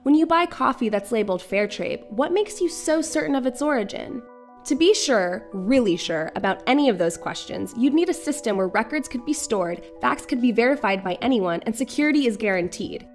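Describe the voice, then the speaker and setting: A woman's voice: fairly high pitch, expressive, brisk. A woman reading scripted explainer narration. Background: background music.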